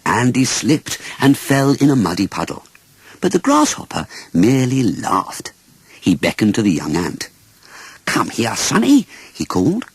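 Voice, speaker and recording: A man reads a children's storybook aloud. His intonation is extremely lively, rising and dipping expressively, and his voice has a husky edge. The sound is dry, with little room around it. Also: lowish voice, normal speed, no echo. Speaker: audiobook narrator.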